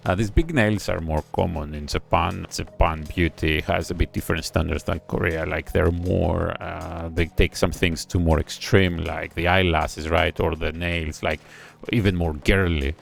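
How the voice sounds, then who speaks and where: A man giving casual reaction commentary on a music video, analytical and admiring, thinking aloud with pauses. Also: deep voice, fairly even intonation, creaky. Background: faint music video playing.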